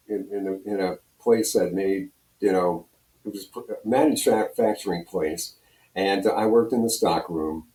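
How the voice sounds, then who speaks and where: A mature American man with a very low, soft-edged voice tells stories in a loose, meandering, conversational style. He sounds some distance from the microphone in an echoey room. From Pennsylvania, US. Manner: rambling, conversational, repeats you know. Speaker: radio program director and personality, interview guest. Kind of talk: casual storytelling in interview. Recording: roomy echo, distant from mic.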